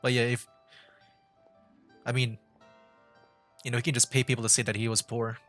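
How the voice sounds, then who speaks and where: A man's voice: mid-range voice, little pitch movement, moderate pace, fairly bright tone. A man streaming a story game, chatting casually and reading the on-screen text aloud, rambling and cutting himself off. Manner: rambling, self-interrupting, laughs at himself. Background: game music.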